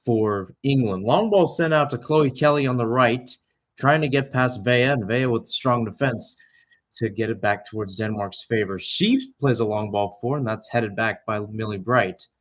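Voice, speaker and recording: A man's voice: medium pitch, normal speed. A young man giving live radio-style soccer play-by-play, talking conversationally and filling in background detail between calls. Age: young adult.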